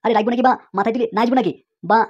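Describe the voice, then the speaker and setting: A woman voicing an angry, swaggering man in a comic cartoon dub, ranting with slangy tags in a husky, rough voice. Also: fairly high pitch, fairly even intonation, very fast, a little mellow, muffled recording.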